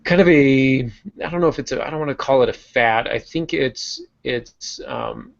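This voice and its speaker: A man co-hosting a writing podcast, talking in a relaxed, chatty way with frequent restarts. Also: mid-range voice, vocal fry. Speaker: podcast co-host. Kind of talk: casual writing craft chat. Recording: dull lo-fi podcast audio.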